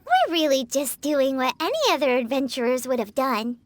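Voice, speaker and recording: A woman's voice: high-pitched, animated, normal speed, slight background noise. A woman voicing a tiny, cheeky, childlike fairy companion in an anime-style video game, bubbly and blunt. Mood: eager. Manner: childlike, refers to self in third person.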